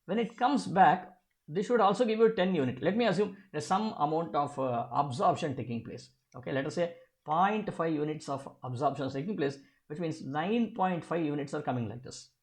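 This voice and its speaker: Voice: mid-range voice, animated, normal speed. Physics tutor with a middle-register voice; didactic, methodical exam walkthrough, poses questions then answers them, restates key terms. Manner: repeats key phrases. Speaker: physics teacher. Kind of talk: step-by-step exam problem walkthrough.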